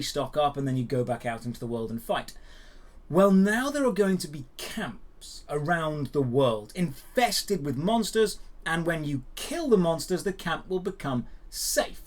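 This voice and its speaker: A man hosting a news show, giving opinionated commentary straight to viewers with emphatic phrasing and dramatic pauses. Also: on the high side. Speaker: host of a daily gaming news show.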